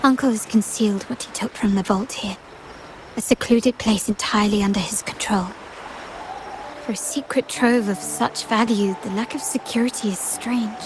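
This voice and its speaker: A woman voicing a composed, suspicious young noblewoman in a video game, thinking aloud in a measured, deliberate way. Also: medium pitch, steady tone, noisy background, lightly acted. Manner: measured, deliberate, self-questioning. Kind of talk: scripted video game voice acting. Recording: roomy echo under loud game music.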